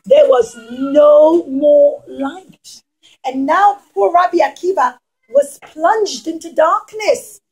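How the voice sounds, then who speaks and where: High-voiced woman telling children a story. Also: darkish tone, lo-fi sound. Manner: dramatic, with emphatic pauses and questions to listeners. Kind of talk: dramatic storytelling to young children.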